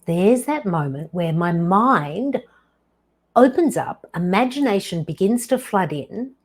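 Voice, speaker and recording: Husky-voiced woman telling a slow story. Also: mid-range voice, expressive. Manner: slow, deliberate delivery with dramatic pauses. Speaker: podcast host. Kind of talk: personal storytelling monologue.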